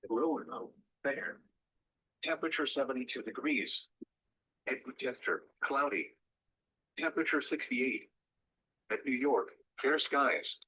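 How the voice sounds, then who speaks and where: A man's voice: mid-range voice, unhurried, long pauses. A man reading a scripted weather report in a clipped, formal way, heard through a radio speaker. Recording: muffled, husky weather radio playback.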